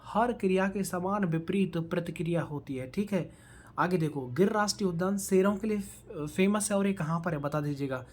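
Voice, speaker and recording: A man teaching an online exam-prep quiz lesson, posing questions, then answering and repeating them as he coaches viewers directly. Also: sits high. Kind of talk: quiz-style lecture for online students.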